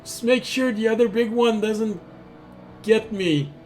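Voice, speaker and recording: A man's voice: sits high, unhurried, slight background noise, voice pushed up. A man voicing an urgent, protective adventuring companion in a tabletop game, earnest and instructing. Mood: earnest, wary.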